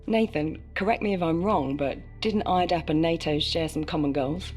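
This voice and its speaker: Probing interviewer for a war game, played by a woman: composed, careful, lowish voice, faint music behind. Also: normal speed, a little mellow, close to an everyday voice. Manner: inquisitive, careful, formal wording. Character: probing, composed female interviewer questioning an aid worker. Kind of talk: naturalistic video game dialogue, interview.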